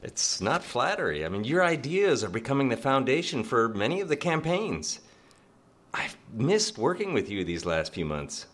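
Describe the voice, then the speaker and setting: A man's voice: mid-range voice, big pitch swings, moderate pace, a bit bright. An actor playing an eager, courteous young executive courting a woman in a live radio drama. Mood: smitten, earnest. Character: eager, gentlemanly young Princeton-educated executive suitor.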